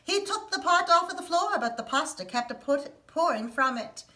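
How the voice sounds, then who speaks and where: A woman teacher reading a picture book aloud to children in an expressive storytelling manner. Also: sits high, normal speed. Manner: expressive, sing-song storytelling.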